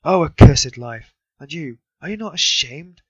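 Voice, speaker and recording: A man's voice: on the high side, lively intonation, measured pace, a bit bright, husky, dry recording, voice pushed up, exaggerated voice. A man voicing a frantic, impoverished mother who shrieks desperate accusations. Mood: despairing. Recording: dull, dry recording.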